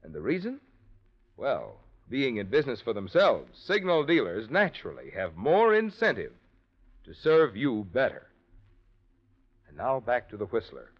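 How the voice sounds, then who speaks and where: A man's voice: mid-range voice, huge pitch swings, moderate pace. A male radio announcer delivering a scripted sponsor message in 1940s style. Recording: dull old radio recording.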